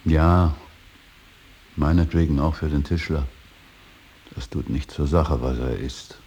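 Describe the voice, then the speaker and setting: Brooding elderly painter-professor in radio drama: gravelly, unhurried, grave and intense, slight hiss on the recording. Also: male voice, extremely low pitch, heavily acted. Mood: stern reproach. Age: older. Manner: solemn, intense, swings from hushed whisper to outburst. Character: stern, brooding old painter-professor and father. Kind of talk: 1980s German radio drama acting.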